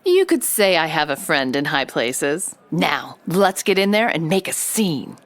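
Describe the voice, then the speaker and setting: Bold detective-style reporter, slightly low voice, wry, dramatic, confident, scripted audio drama. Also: female voice, big pitch swings, unhurried. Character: bold, theatrical female investigative reporter narrating her case. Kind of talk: noir-style scripted narration and drama dialogue.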